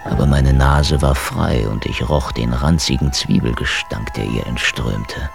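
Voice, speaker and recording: An actor playing a battered, sardonic hard-boiled agent who narrates a radio drama in a wry, deadpan, coarse way. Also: male voice, a bass voice, a little slow, vocal fry, full character voice. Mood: wry, weary. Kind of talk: noir radio drama first-person narration. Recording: music bed under the voice. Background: music.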